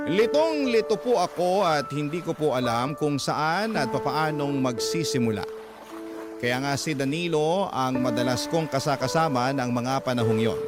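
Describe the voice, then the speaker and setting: A man's voice: on the high side, close to an everyday voice. A man narrating as a grieving young woman. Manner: earnest, confiding narration addressed to the host. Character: grieving young woman letter writer, Minerva. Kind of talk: radio drama letter narration. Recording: music bed under the voice.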